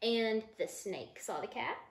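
A woman's voice: fairly high pitch, animated, unhurried, gravelly, a little hiss or hum. A woman in her thirties reads a children's picture book aloud expressively, explaining it and putting questions to children. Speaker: storytime reader, mother. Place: living room couch at home, indoors. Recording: roomy echo of a living room.

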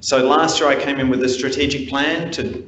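A young man presents to a city council, earnest and a little halting. His voice rings through a large, echoey chamber with a long reverb tail and some faint background noise. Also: mid-range voice, steady tone, fairly bright tone. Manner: earnest, many fillers. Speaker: deputation speaker. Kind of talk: formal address to council. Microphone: lectern mic, camera from behind.

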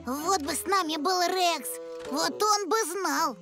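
Cheerful child-like dino robot hero, extremely high cartoon voice over music. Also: female voice, slow pace, full character voice. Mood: plucky. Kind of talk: Russian cartoon dubbing.

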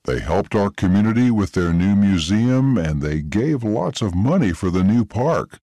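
A man's voice: on the low side, sing-song, moderate pace, dark tone, no echo. A man playing a firm, formal police sergeant in storybook dialogue. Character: stern male police sergeant, civic-minded authority. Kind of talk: scripted audiobook dialogue.

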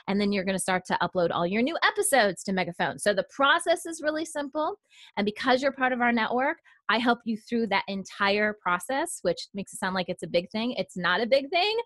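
A woman's voice: mid-range voice, fairly bright tone, dry recording. A woman explains things informally and emphatically, in an encouraging, conversational way. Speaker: podcast network director and presenter. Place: small home room, indoors. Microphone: studio condenser mic with pop filter, close up.

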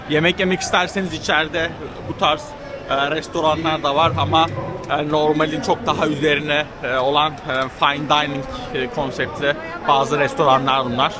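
A man in his thirties from Turkey, casually narrating a walking travel vlog with many drawn-out fillers, filmed at arm's length in a busy market. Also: on the high side. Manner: hesitant, many drawn-out fillers, steady and informal. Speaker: travel vlogger. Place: busy covered market and rainy square outdoors. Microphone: handheld camera at arm's length. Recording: noisy busy street ambience. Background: crowd chatter and city ambience.

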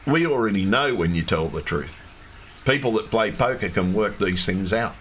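Hoarse man ranting on a livestream. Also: lowish voice, expressive, moderate pace, fairly bright tone. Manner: agitated, swearing, repetitive. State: losing his voice. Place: home room with fish tank. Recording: faint background hum from fish tank.

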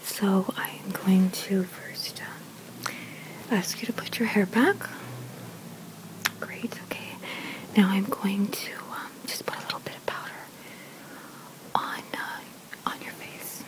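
A woman whispering softly and breathily in an ASMR roleplay as a shop consultant, in unhurried short phrases with hesitant pauses. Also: mid-range voice, fairly even intonation, rough recording. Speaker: ASMR creator playing a bridal boutique consultant.

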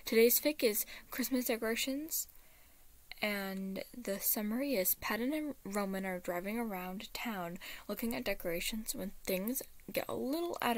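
Woman with a sore throat reading aloud. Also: mid-range voice. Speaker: fan fiction reader and channel host. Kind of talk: reading fan fiction aloud.

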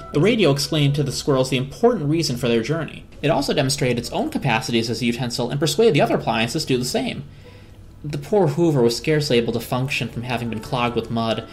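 A woman narrating a children's storybook as an expressive dramatic reading. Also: low-pitched.

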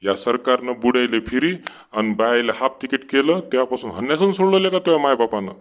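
A man's voice: mid-range voice, a little fast, dull audio, close to an everyday voice. A man voicing a henpecked, sarcastic village husband in a comedy cartoon, complaining in rural Marathi of Vidarbha. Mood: grumbling, exasperated.

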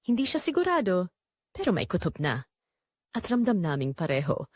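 A woman reading a scripted first-person dramatic story in a measured, expressive storytelling manner. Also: fairly low pitch, dry recording. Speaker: narrator.

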